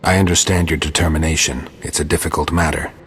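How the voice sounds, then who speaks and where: A man playing a stoic monster hunter in a video game, terse and deadpan, with a gravelly, husky voice. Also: extremely low pitch, fast talker, full character voice. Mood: guarded, skeptical. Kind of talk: scripted video game dialogue. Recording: long cavernous reverb.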